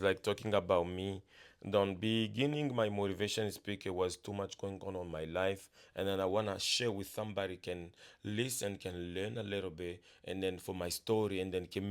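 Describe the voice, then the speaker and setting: A man speaking English as a second language, giving an earnest, halting motivational talk full of restarts. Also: lowish voice. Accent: non-native English. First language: not English. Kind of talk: casual motivational monologue.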